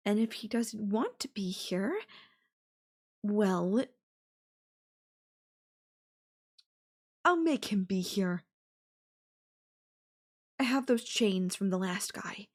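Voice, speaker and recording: A woman playing an obsessive, possessive girlfriend in an intimate roleplay audio drama, sweet but menacing, with breathy cooing. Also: medium pitch, animated, slow, long pauses. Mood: scheming, menacing. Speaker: voice actor.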